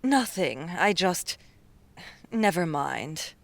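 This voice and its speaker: A woman playing an eager, sharp-tongued and wistful young fox beastwoman in an audiobook, expressive and prone to exclaiming. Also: lowish voice, extremely slow, vocal fry, no room sound, heavily acted. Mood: dismissive. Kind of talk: audiobook character acting.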